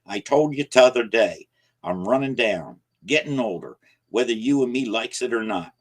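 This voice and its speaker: A man voicing an aging rural man who scolds in rough, folksy grammar, angry and frightened. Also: lowish voice, moderate pace, lightly acted. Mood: urgent, scolding. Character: gruff aging backwoods man, scared and angry.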